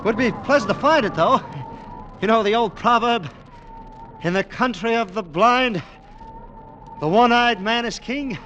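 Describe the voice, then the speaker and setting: An actor playing a sceptical, wry mountain climber in a conversational 1940s radio drama style. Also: male voice, very high voice, unhurried, pauses often, bright, forceful tone, dull audio, exaggerated voice. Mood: wry, curious. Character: sceptical, wryly joking mountain climber on an expedition. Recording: noisy vintage radio recording, outdoor ambience.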